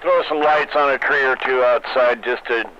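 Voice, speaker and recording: A man's voice: mid-range voice, fairly even intonation, moderate pace. A man chatting casually and laconically over CB radio, with a dull, noisy transmission. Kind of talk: casual chat.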